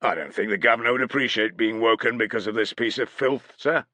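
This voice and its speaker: Contemptuous Praetorian guard, put on by a male narrator, high and tense. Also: normal speed, pushed and bright, slightly rough, no room sound, full character voice. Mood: smug. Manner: smug, contemptuous.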